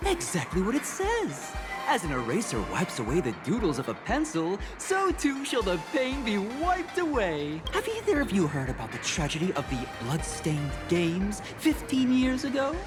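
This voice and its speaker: A serene woman recruiter from a video game, makes a polished, pious pitch. Her intonation rises and falls expressively as she soothes and persuades, with clear background music under her words. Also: wildly swooping pitch, normal speed. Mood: solemn, persuasive. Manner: soothing, polished sales pitch with theatrical piety. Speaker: game character, cult recruiter. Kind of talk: scripted game voice acting.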